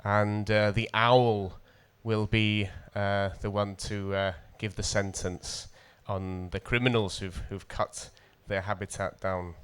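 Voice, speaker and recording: Male poet, North Welsh accent, smooth clear tone, unhurried and deliberate, for live readings with spoken introductions. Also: lowish voice, flat delivery, fairly bright tone. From coastal North Wales. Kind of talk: poetry reading with spoken introductions at a literary festival.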